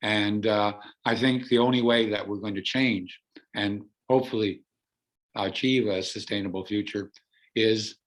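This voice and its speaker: A Canadian artist speaks over a video call, his voice hoarse from laryngitis. He sits a little low in pitch and explains his work in an earnest, explanatory way. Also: fairly even intonation, a little slow. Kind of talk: presenting artwork on a video call.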